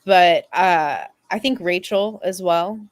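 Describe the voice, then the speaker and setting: A woman's voice: lowish voice, takes it slowly, no room sound. A woman speaking casually as a fan panelist, in a hesitant, giggly manner. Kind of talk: casual chat.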